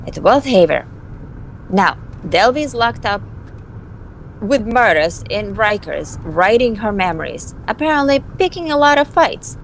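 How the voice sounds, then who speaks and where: A woman's voice: mid-range voice, sing-song, a little slow, a little hiss or hum, poor audio. A woman voicing a flippant, scheming young vampire socialite in an amateur visual novel dub, with a snarky, theatrical delivery. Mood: gossipy, admiring.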